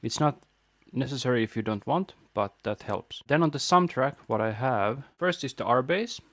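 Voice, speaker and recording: A man presenting an audio tutorial, casually explaining a software setup step by step into a close microphone. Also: mid-range voice, animated, normal speed, dry recording.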